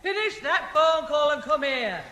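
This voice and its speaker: Long-suffering brisk mother, swooping bright voice, vintage radio sitcom, echoing hall. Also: sits high, animated, heavily acted. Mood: exasperated. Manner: brisk, exasperated. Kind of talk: 1970s British radio sitcom acting. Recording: reverberant old radio broadcast, slight noise.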